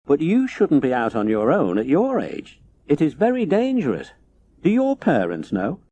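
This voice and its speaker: Man voicing a solemn, gentle storybook wolf, very expressive. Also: fairly high pitch, normal speed. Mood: solemn concern. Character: big gentle, sad, protective male talking wolf. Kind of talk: children's audiobook character acting. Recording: faint noise, old digitized audiobook recording.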